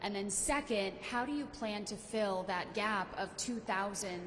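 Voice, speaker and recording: Woman, TV reporter, medium pitch, formal questioning, recorded in a large echoey space. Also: unhurried, a bit bright. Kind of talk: press conference question. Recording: reverberant hall, faint background noise.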